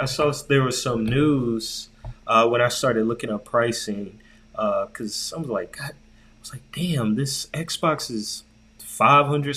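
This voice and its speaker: A man co-hosting a podcast in casual chat, slangy and profane, telling things in an animated way and repeating words for emphasis. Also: mid-range voice. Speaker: podcast co-host.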